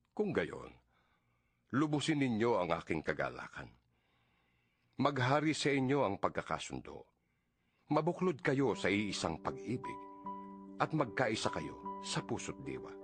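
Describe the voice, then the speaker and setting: A man with a Filipino accent narrating an audio Bible in a solemn, earnest, measured dramatized reading. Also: lowish voice, fairly bright tone, breathy edge. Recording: music under the voice. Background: background music.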